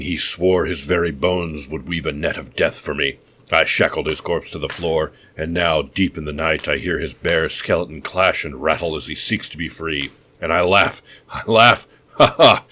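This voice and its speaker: A crazed innkeeper, half beast, who rants and roars with mirthless laughter in a very deep voice, put on by a man reading the tale. The villain of a dark adventure story, menacing and unhinged. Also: a little hiss or hum. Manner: booming wild laughter and roars. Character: mad, roaring escaped-prisoner innkeeper man.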